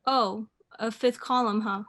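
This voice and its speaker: Radio play mother: female actor, patient, mildly amused, unhurried, slightly high pitch. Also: fairly even intonation. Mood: amused, indulgent. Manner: gently chiding, unhurried.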